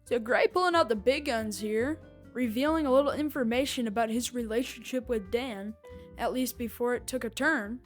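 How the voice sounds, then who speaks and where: High-voiced woman giving casual commentary. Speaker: podcast host reviewing an audio drama.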